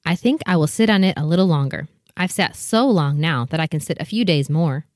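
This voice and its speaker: A proud mother duck from a storybook, played by a woman, with a slightly low female voice. She is bustling and protective, giving motherly instruction in a dry, echo-free sound. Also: animated, a little fast. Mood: stubborn, musing. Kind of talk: full cast storybook dramatization.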